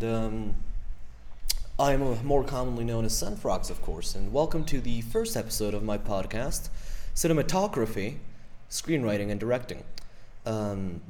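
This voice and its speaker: A man's voice: fairly low pitch, darkish tone. An eighteen-year-old man from Ecuador with an Ecuadorian accent, hosting his own podcast as a casual, rambling solo monologue full of fillers and self-deprecating asides. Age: teens. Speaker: podcast host. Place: room at home.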